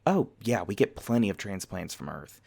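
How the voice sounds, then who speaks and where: A man acts out a friendly young vampire showing a listener around a university in an ASMR roleplay. His voice sits very low with a strong gravelly creak. He talks casually and conversationally, with gentle, teasing jokes. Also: very animated, moderate pace, exaggerated voice. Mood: easygoing, informative. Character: friendly, teasing male vampire university student and tour guide.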